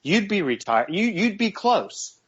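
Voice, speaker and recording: A man's voice: high voice, big pitch swings, unhurried, fairly bright tone, husky, muffled recording. A man in his forties co-hosting a casual radio talk show, earnest and repeating words as he works through his points. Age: 40s. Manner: earnest, repeats words while gathering thoughts.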